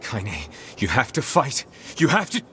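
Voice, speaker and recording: A man's voice: medium pitch, sing-song, a little slow, bright, forceful tone, slight background noise. An actor playing an earnest, serious young male hero in a video game, worried for his companion.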